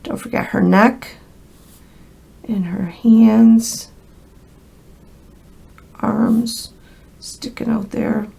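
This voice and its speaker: A woman's voice: a little high, steady tone, very slow, gravelly, long pauses, warm tone, husky. Crackly-voiced American woman teaching a craft. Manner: chatty, friendly, addresses viewers directly. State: crackly voice from a cold. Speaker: craft instructor. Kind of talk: casual hands-on craft tutorial.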